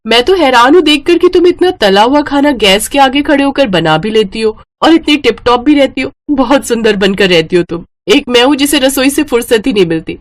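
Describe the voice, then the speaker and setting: Woman voicing a high, chatty young sister-in-law. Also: close to an everyday voice. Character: friendly, self-pitying young female relative by marriage.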